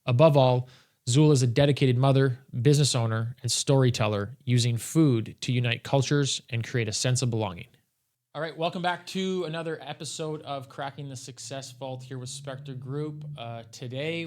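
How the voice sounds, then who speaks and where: A man hosting an interview podcast, talking in a relaxed way with many fillers. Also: medium pitch. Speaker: podcast host.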